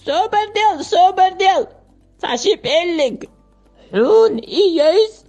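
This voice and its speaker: A wisecracking cartoon meerkat, small and excitable. The voice is very high and swoops around freely, full of exclamations, with faint music underneath. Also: male voice, slow, full character voice. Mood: agitated, amused. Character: excitable, wisecracking little male meerkat sidekick. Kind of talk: animated film dubbing.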